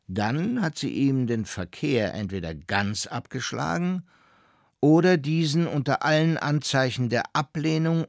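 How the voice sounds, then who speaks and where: An actor playing a stern, officious divorce lawyer, reading out a formal legal letter in measured phrases. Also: male voice, medium pitch, dry recording, clean studio sound.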